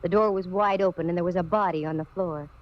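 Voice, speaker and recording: A woman's voice: fairly low pitch, steady tone, quick pace, close to an everyday voice. A hard-boiled female private eye of 1940s radio, played by an actress, wisecracking and sure of herself. The old recording is muffled, with faint noise under the voice. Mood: wry narrating. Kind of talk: 1940s radio detective acting, narration and dialogue.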